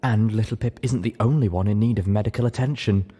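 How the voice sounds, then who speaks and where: A man's voice: fairly low pitch, fast, slightly rough. Man voicing a motherly, insistent pony mare medic. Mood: eager. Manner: gentle but insistent.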